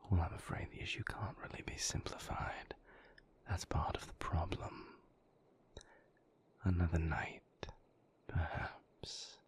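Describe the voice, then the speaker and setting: A man playing a moody, suave vampire boyfriend in an intimate audio roleplay, speaking in a breathy near-whisper with languid, formal phrasing. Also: low-pitched, exaggerated voice.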